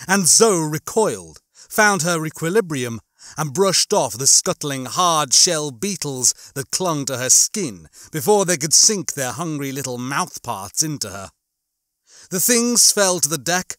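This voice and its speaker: A man reads a suspenseful sci-fi horror story as an audiobook narrator. His voice sits slightly high, and he paces the drama with measured, expressive phrasing. The sound is very dry and close, with no room around it. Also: dry recording.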